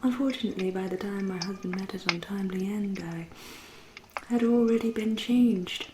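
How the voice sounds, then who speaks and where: Woman as a soft, whispery English vampire lady. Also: medium pitch, echoey room, noisy background, close to an everyday voice. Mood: proud, reminiscing. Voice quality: soft, breathy, often whispery. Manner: genteel, deliberate, drawn-out phrasing with dry irony. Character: centuries-old aristocratic vampire woman, politely menacing, wryly proud. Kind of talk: ASMR roleplay monologue.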